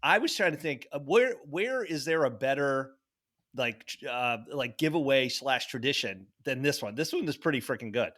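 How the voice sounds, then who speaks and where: A man co-hosting a podcast, telling stories casually in a halting, rambling way with repeated words. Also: mid-range voice, animated, fairly bright tone. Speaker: podcast co-host. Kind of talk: casual banter and storytelling.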